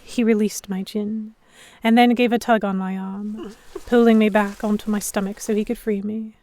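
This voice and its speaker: A woman giving expressive first-person narration in the style of a dramatic audiobook. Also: medium pitch, normal speed, dry recording.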